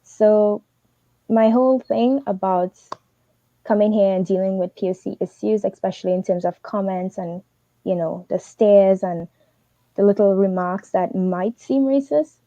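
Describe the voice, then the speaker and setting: A young woman from Trinidad and Tobago, giving fast, earnest advice as a teaching assistant on a livestream. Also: medium pitch, warm tone, no room sound, muffled recording. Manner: fast, earnest, advising directly.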